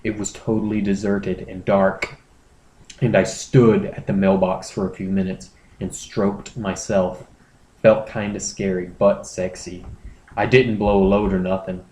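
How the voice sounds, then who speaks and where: Male reader as shameless online confessor: lowish, hushed, straight-faced dramatic reading, roomy recording. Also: flat delivery, measured pace. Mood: deadpan confession. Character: earnest, shameless male forum poster confessing. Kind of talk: dramatic reading of a forum post. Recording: roomy echo, background noise.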